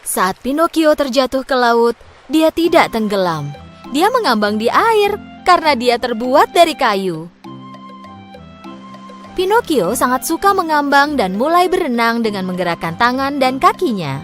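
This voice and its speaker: Lively, animated woman storyteller. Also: high voice, unhurried, faint music behind. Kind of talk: scripted children's fairy tale narration.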